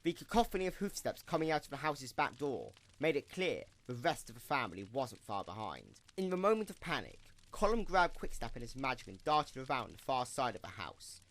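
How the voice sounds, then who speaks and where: A man's voice: mid-range voice, sing-song, brisk, few pauses, a bit bright. A man narrating a scripted audio drama in a dramatic storytelling style.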